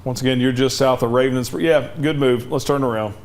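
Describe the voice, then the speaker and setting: A man, a TV meteorologist, giving live severe weather coverage with urgent, direct safety instructions, slightly hoarse from losing his voice. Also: medium pitch, steady tone, fast talker. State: losing his voice, cough drop in mouth.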